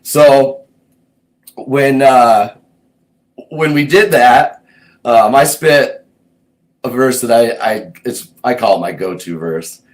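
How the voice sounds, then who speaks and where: Chill guy telling a long story about his past, kinda wanders, middle pitch. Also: takes it slowly, pauses often, low-quality recording. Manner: laid-back, meandering, thinks aloud. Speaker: host recounting his music career. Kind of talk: casual personal storytelling.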